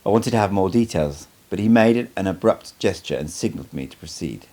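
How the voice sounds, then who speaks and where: A man's voice: low voice, animated, brisk, a little mellow. A man reading a first-person story aloud as scripted audiobook narration. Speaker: amateur audiobook reader.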